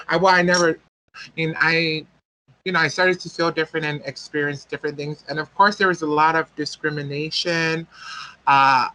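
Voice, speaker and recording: A man's voice: a little high, sharp tone, rough recording. A man, telling a personal story as a guest speaker and often searching for words. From American Samoa.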